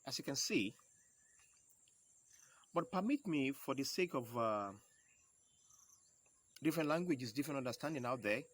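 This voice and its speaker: A man with a Yoruba accent teaching a home video lesson, going slowly and repeating each key term step by step. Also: medium pitch, animated. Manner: slow, repeats key terms, explains step by step. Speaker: percussion teacher.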